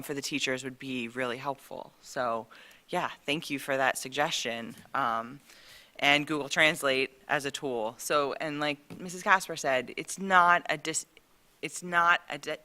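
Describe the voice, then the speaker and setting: A teacher explains points to a school board in a chatty way, full of fillers. The voice is bright and forward. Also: medium pitch, unhurried. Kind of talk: presentation at a school board meeting. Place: meeting room.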